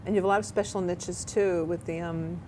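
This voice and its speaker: A woman hosting a local TV talk show, chatty and animated, often tripping over her words. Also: lowish voice, fairly quick, a little hiss or hum, rough recording. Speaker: talk show host and therapist. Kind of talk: casual local TV interview chat. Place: local cable TV studio.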